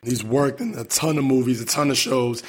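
A man hosting his podcast with a raspy, hoarse voice, talking loosely and swearing casually. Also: medium pitch, a little fast. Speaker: podcast host.

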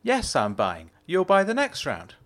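A man's voice: high voice, wildly swooping pitch, measured pace, a bit bright, voice pushed up, exaggerated voice. A man voicing a brash, bossy young woman student who fires off pushy, teasing questions.

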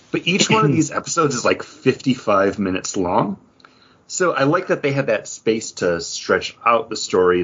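Casting: male podcast co-host, low and rough-edged voice, casual review chat, dull call audio. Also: fairly bright tone. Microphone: internet voice call.

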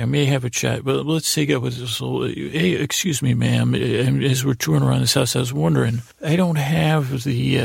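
A man with an extremely creaky, husky voice of middling pitch, recorded close and dry. He delivers an improvised bedtime monologue as a podcast host. His delivery meanders and stammers, circling back on half-finished phrases. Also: no room sound. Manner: halting, meandering, stammers over words.

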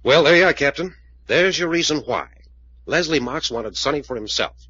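An actor playing a hard-driving, blunt detective in a 1940s radio serial, commanding and urgent. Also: male voice, on the high side, expressive, normal speed, pushed and bright, slightly rough, heavily acted. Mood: brisk, assertive. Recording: muffled old-time radio recording.

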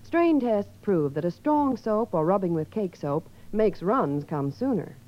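A woman's voice: mid-range voice, sing-song, a little slow. A woman reading a scripted 1950s radio advertisement as a commercial announcer. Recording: muffled vintage radio broadcast.

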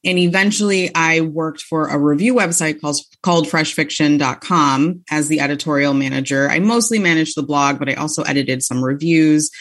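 A woman's voice: sits low, moderate pace, few pauses. A woman in her thirties, a guest author in a casual interview, talking in a chatty way with many fillers, restarts and laughs.

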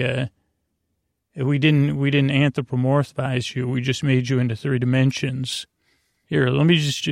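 A man hosting a sleep podcast with a halting, meandering, mumbly delivery, rambling whimsically through a bedtime monologue. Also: mid-range voice, vocal fry, no echo, clean studio sound. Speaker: podcast host.

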